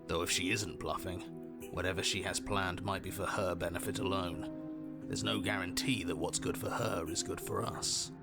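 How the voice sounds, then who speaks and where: A gruff, very deep hero voice. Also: male voice, fairly even intonation, normal speed, loud music behind the voice. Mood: suspicious. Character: blunt suspicious inner voice of a hero. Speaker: game character.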